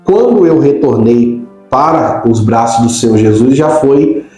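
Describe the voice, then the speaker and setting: A man's voice: medium pitch, lively intonation, a little slow, dark tone. A middle-aged Brazilian man from Rio de Janeiro state, a pastor explaining things conversationally as an interview guest. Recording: very echoey room, faint music.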